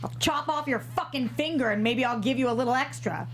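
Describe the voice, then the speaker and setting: A woman's voice: high voice, fairly quick, fairly bright tone. A woman playing a brash, sarcastic, foul-mouthed tough woman with a demanding manner in a comedy table read. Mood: angry, threatening.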